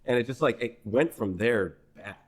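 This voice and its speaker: A young man from New Hampshire, a singer-songwriter guest in a podcast interview, telling a story in a rambling, animated way full of restarts. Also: mid-range voice, moderate pace, husky. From New Hampshire, USA. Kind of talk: casual conversational interview.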